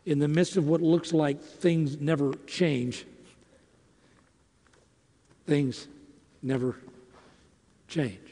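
A man preaching in a deliberate, emphatic way, breaking his lines into short phrases with dramatic pauses. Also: fairly high pitch, mellow. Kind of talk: sermon with emphatic rhetorical questions and scripture. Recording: long reverberant hall.

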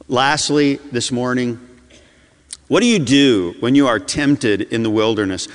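A man preaching a sermon as a pastor, his delivery swinging between loud urgency and hushed intensity. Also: mid-range voice, a little slow. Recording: long hall reverb.

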